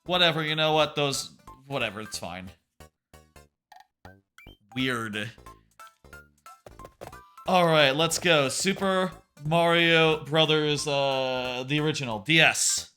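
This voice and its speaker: A man livestreaming a game, talking casually in a loud voice that rambles and corrects itself, sitting about an arm's length from his microphone. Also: fairly high pitch, slow, long pauses, soft background music. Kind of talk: casual live gameplay chat.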